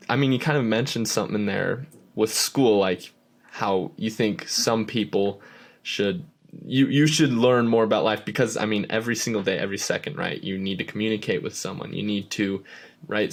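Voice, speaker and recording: Casual male podcast host, curious. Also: on the low side. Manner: friendly, curious, casual fillers like "kinda" and "right?". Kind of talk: casual interview chat.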